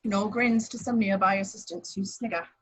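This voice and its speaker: A woman's voice: mid-range voice, moderate pace, breathy edge, poor audio. A woman reading stage directions as plain scripted narration at an informal table read. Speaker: action-description reader.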